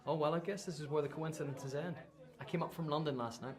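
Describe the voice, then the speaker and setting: A man's voice: a little high, steady tone, fast, lightly acted. A man acting in a naturalistic radio drama as a friendly, chatty young man who likes a joke. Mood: cheerful. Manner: chatty, jokey. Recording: busy pub chatter behind.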